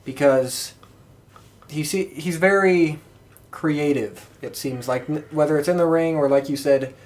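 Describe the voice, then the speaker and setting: A man hosting a podcast in casual conversation, with a rambling, halting delivery. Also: a little high, a little mellow. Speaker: podcast host. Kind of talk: casual chat.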